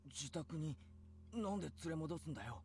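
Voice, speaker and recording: A man's voice: fairly high pitch, expressive, fast, darkish tone, a little hiss or hum. A man playing a hot-headed, earnest teenage schoolboy in a Japanese anime visual novel. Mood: indignant.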